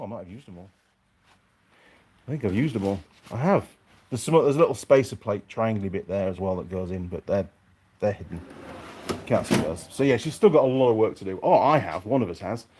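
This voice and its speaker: A man's voice: fairly low pitch, very noisy. A man chatting casually and unscripted to his viewers as a mechanic vlogger, rambling along and thinking aloud in his workshop.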